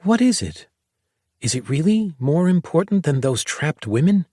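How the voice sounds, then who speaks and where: A man's voice: sing-song, normal speed, a little mellow, no echo, studio quality. A male narrator voicing a feisty, stubborn little girl who teases and insists. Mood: insistent. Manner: raised pitch, bratty and insistent.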